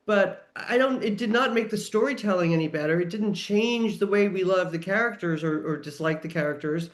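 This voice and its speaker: A man's voice: sits high, a little fast. A man chatting casually as a podcast panelist, making his points in an emphatic, conversational way.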